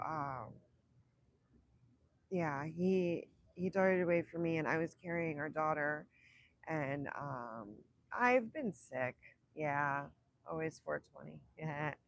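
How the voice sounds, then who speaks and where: A young woman with a raspy voice from illness, chatting casually on a livestream, rambling and laughing between thoughts. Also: low voice, no echo. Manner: rambling, self-interrupting, laughs often. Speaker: livestream host. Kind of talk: casual live chat with viewers.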